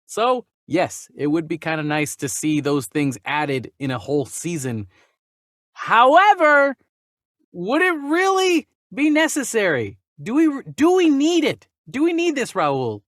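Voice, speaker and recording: A man co-hosting a casual movie news chat, animated and given to exaggerated questioning. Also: sits high, unhurried, no room sound, studio quality. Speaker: movie news podcast co-host.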